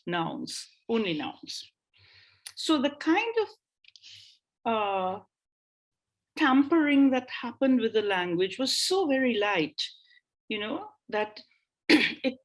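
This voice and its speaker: Slow-talking woman lecturing over a call. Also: a little high. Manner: thinking aloud, with frequent pauses and restarts; reads out an old text in a slower voice. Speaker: guest lecturer on language history. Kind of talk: online lecture. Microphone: video call.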